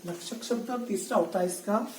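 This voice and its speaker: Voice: mid-range voice, brisk, darkish tone. Teacher at the board, repeats key phrases, calls out students who drift, roomy and off-mic. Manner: addresses students directly, scolds inattention. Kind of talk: classroom lecture. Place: classroom. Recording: echoey room, voice from a distance, faint noise.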